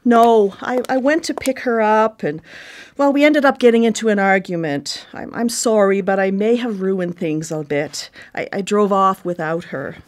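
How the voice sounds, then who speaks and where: An actress playing a devoted, possessive sister and anxious caretaker in a naturalistic radio drama, speaking in a halting, earnest, pressing way. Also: female voice, mid-range voice, natural voice. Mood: apologetic, flustered.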